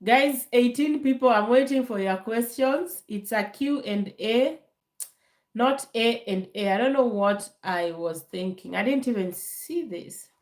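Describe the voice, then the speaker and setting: A woman from Kenya hosting a casual live Q&A, calm and unhurried, laughing often, still getting over a bad cold. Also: on the high side, reverberant. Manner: calm, slow, laughs often, many fillers. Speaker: livestream host. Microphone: phone held to camera.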